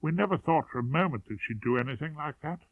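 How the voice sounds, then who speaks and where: Band-limited, dry audio carries a genteel youngish woman, as a male reader plays her. She is gentle, polite and gushing, an audiobook character. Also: on the high side, expressive, quick pace, no room sound. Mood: sorrowful, confiding. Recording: narrowband, tinny radio-like sound.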